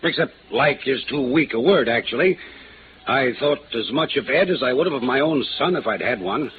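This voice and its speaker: A man playing a grave, troubled older American insurance executive, set in his ways, in a 1950s radio drama, with measured, halting delivery. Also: medium pitch, animated, natural voice. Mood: proud, sorrowful. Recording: noisy, phone-like old radio recording.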